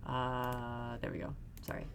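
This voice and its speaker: A woman's voice: rumbling low, completely flat delivery, measured pace, a little hiss or hum. A young woman playing a visual novel on a call, self-deprecating and laughing often, answering her friend in short replies. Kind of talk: casual chat while playing a game.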